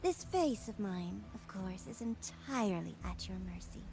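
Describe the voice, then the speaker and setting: A woman's voice: medium pitch, lively intonation, normal speed, vocal fry, noisy background. A woman playing a sly, mockingly courteous female villain in a video game, with a playful, taunting, theatrical delivery. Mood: taunting, silky. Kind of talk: game voice acting.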